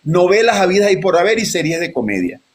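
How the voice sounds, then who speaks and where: A man's voice: high voice, quick pace. Man telling stories animatedly. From Venezuela (Maracaibo). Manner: animated storytelling, frequent restarts and repetitions. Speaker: actor guest. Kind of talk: live panel conversation.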